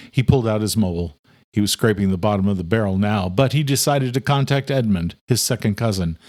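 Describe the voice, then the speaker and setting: A man's voice: lowish voice, dry recording. Man narrating a horror audiobook, dry sound. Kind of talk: scripted horror thriller narration.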